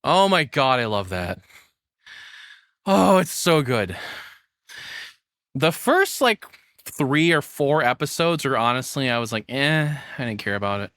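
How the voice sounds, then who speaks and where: A man's voice: mid-range voice, very animated, measured pace, long pauses, a bit bright, no room sound, clean studio sound. A man giving casual, playful, tongue-in-cheek live commentary as a streamer reacting to a video.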